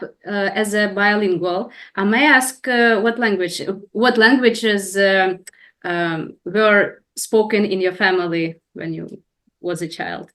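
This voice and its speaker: A woman's voice: medium pitch, a bit bright. A woman interviewing a guest, asking questions politely with some hesitation.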